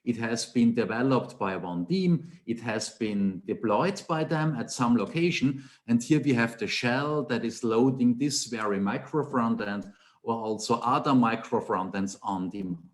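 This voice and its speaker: A man's voice: mid-range voice, measured pace. A middle-aged man from Austria with an Austrian German accent, giving a technical conference talk over a webcam call in a small home office, explaining with rhetorical questions and emphatic repetition. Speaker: conference speaker, Angular trainer.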